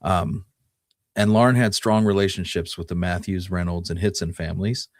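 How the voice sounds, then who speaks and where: Deep-voiced man talking history, close dry sound. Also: steady tone, normal speed, dry recording. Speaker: co-host presenting research on Old West history. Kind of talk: casual conversational history podcast talk.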